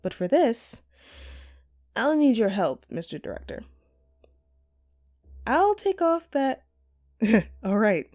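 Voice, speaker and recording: Slow, swooping vengeful actress villainess voiced by a woman. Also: fairly high pitch, big pitch swings, a little mellow. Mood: menacing, toying. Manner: mocking, theatrical, menacingly playful. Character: vengeful jilted actress, a sadistic hostage-taker playing director. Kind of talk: dramatic horror audio roleplay.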